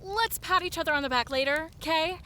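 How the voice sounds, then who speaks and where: A woman playing a feisty, self-assured young sidekick in a cartoon adventure, with a brisk, no-nonsense manner. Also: very high voice, pushed and bright, slight background noise. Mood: brisk, confident. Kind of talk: cartoon adventure audio drama acting.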